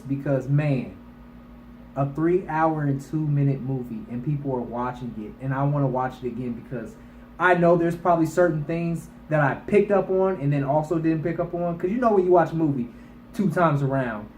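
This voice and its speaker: A man's voice: a little high, darkish tone. A man giving a casual, emphatic movie review to online viewers, speaking colloquially and repeating himself to drive points home. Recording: echoey room with faint background noise.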